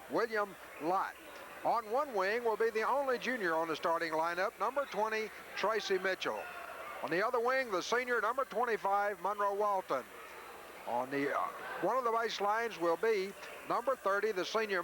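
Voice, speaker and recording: High-voiced man calling basketball, noisy old tape. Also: fairly bright tone. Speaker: radio play-by-play announcer. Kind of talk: live basketball play-by-play commentary. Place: school gymnasium during a game. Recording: noisy 1980s radio audio from VHS.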